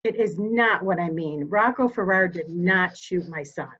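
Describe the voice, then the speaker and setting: A woman acting a steely, dying elderly mother in a mystery drama reading, speaking formally and deliberately. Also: lowish voice, a bit bright, husky, lo-fi sound. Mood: grave, resolute.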